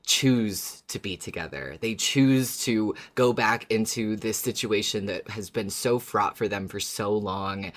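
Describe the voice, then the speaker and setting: A man co-hosting a casual fan discussion podcast, talking earnestly and haltingly and repeating words for emphasis. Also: on the low side, fairly bright tone. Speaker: podcast co-host.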